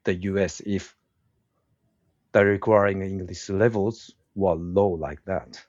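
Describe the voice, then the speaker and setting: Slow, low-voiced Japanese-accented man. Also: fairly even intonation, a little mellow, no echo. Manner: slow, halting, searches for words. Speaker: guest, woodworking craftsman and teacher. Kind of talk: casual interview conversation. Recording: dull, dry lo-fi sound.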